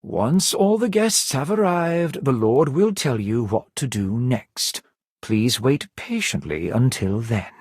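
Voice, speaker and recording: A courteous, composed servant of a grand house in a game cutscene. His formal delivery moves through lively rises and falls, with a slightly husky edge and a very dry, close sound. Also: medium pitch, no echo. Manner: formal, courteous, measured diction. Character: polite, composed male servant of a grand manor. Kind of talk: scripted video game dialogue.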